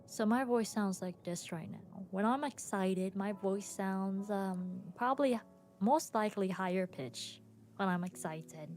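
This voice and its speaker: A young woman hosting her own podcast, tired, chatting casually with pauses and soft laughs. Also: mid-range voice. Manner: soft, rambling, frequent pauses and little laughs. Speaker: podcast host and streamer. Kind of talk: casual solo podcast chat. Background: soft background music.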